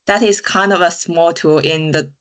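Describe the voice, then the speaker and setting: A woman's voice: fairly low pitch, fairly even intonation, moderate pace. A woman from China, a podcast guest speaking thoughtfully and often saying "you know" and "kind of". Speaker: guest, digital engineer. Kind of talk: casual interview chat.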